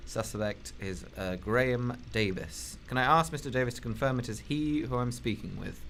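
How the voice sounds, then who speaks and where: A weary, sardonic detective, played by a man presses a suspect with blunt questions in a mid-pitched voice. The student radio play has faint noise behind him. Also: moderate pace, a bit bright, close to an everyday voice. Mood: pressing. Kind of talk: amateur radio drama acting.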